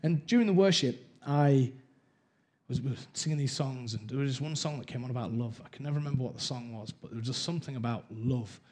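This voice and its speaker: A man's voice: mid-range voice, fast talker, darkish tone. A man from Wales giving a personal testimony at church, telling his story candidly, acting out quotes and laughing at himself. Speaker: church speaker.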